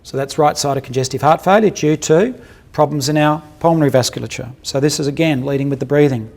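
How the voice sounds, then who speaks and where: A man's voice: a little high, quick pace, creaky, a little mellow. A man giving a clinical dental lecture built around case examples, talking bluntly and colloquially, cracking jokes and putting questions to his audience.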